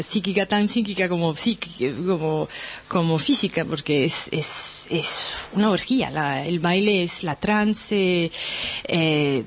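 A woman with a French accent talks through an informal presentation in a slightly low voice. She pauses often to search for words and corrects herself mid-phrase. Bursts of enthusiasm come through as she goes. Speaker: presenter sharing a reading.

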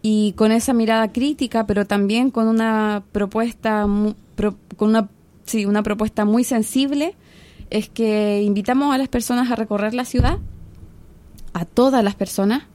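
A woman from Chile, a researcher and podcast guest, speaking in an earnest, measured and passionate way. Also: on the high side, steady tone, dark tone. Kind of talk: podcast interview.

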